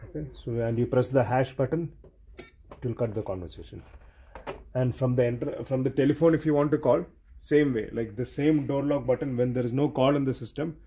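A man's voice: medium pitch, warm tone, slight background noise. A man giving hands-on technical training in a step-by-step, repetitive way, as a technician showing how equipment works. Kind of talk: hands-on instructional explanation.

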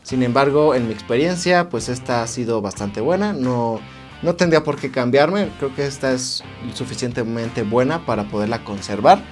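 A man's voice: mid-range voice, expressive, moderate pace, soft background music. A man with a Mexican accent giving a casual, conversational product review to viewers, explaining things informally as a tech vlog host.

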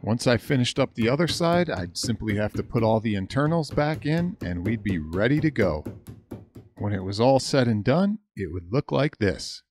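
A man's voice: medium pitch, big pitch swings, moderate pace, darkish tone, a little hiss or hum. A middle-aged American man narrating a DIY build as a hobbyist, in a plain, matter-of-fact explanatory voice-over. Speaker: hobbyist video host.

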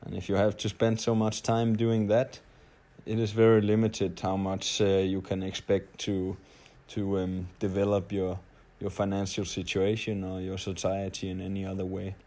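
A man in his late twenties from Denmark with a Danish accent, telling his story in a halting, hesitant audio-blog monologue. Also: sits low, monotone, mellow. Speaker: traveler, audio blogger.

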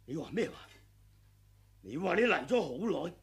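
An actor playing a treacherous, greedy aging male sword master in a 1980s radio drama, sly and sneering, with sudden furious yells. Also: a little high, very animated, moderate pace, creaky, breathy edge, exaggerated voice. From Hong Kong.

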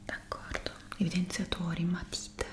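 ASMR roleplay: woman in her twenties, breathy low whisper, gentle unhurried delivery, close to the mic. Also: completely flat delivery. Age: 20s. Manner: gentle, unhurried, speaking as if to a customer. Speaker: ASMR creator playing a stationery shop assistant.

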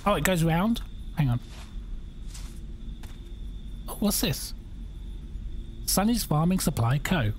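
A young man with a croaky voice, chatting and joking through a horror game as a gaming YouTuber. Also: on the high side, big pitch swings, a little slow, slight background noise. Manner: chatty, jokey, reacting aloud. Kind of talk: casual let's-play commentary.

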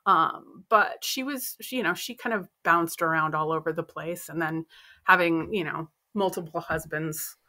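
A woman in her thirties talks casually from a room at home, using an earbud mic on a video call. Her voice is bright and forward. She tells stories in a lively way and acts out the people she quotes. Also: low voice, moderate pace. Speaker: podcast co-host. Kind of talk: casual chat. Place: home room with bookshelves and poster. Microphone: earbud headset on video call.

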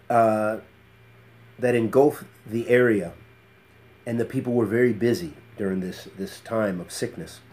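A middle-aged man speaks as an Islamic teacher in a fairly low, very mellow voice. His delivery is measured and reflective, with halting phrasing, as in an informal lecture recorded at a desk in a small study. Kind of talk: informal religious lecture with Arabic phrases and quotations. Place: small book-lined study indoors.

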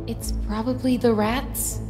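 An actress playing a tough, wry investigator in a noir audio drama, with a sardonic, casual way of talking. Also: female voice, on the high side, fairly even intonation, a little slow, music in the background, close to an everyday voice. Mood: skeptical, wry.

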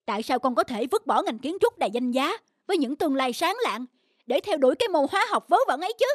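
Stern, high-voiced scolding mother. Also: expressive, fast talker, dry recording, studio quality. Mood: disapproving, stern. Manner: scolding, emphatic. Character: stern middle-aged mother, proud and disapproving. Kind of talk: animated cartoon dubbing.

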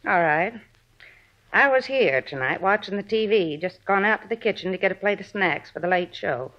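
An actress playing a suspicious, bossy, chatty neighbor in a 1950s radio drama, with brusque, folksy, ungrammatical speech. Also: female voice, mid-range voice, lively intonation, normal speed, natural voice. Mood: chatty, recounting. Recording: muffled old radio recording.

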